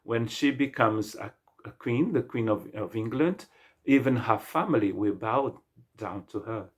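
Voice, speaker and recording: Husky Brazilian-accented male pastor preaching. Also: medium pitch, expressive. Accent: Brazilian Portuguese. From Brazil, state of Rio de Janeiro. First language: Portuguese. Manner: earnest, halting, with frequent restarts and fillers. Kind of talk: sermon.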